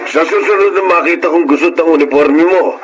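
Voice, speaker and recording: A man's voice: fairly high pitch, a little fast, a little hiss or hum, lightly acted, rough recording. A man with a Filipino accent playing an irascible, domineering older boss who barks and scolds in a radio drama. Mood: angry, domineering. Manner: barking, scolding, threatening.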